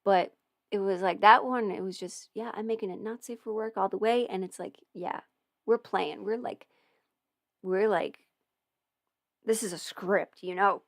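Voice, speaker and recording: A woman's voice: medium pitch, unhurried, gravelly, pauses often, dry recording, clean studio sound. A young woman in casual livestream chat with viewers, rambling, laughing often and swearing now and then. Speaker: audio performer answering viewer questions.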